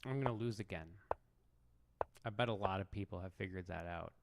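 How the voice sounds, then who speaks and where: A man's voice: low voice, a little fast, gravelly. A man streaming chess with casual, self-mocking, rambling commentary, in a noisy stream recording. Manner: self-mocking, rambling, restarts mid-thought. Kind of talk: casual live commentary while playing.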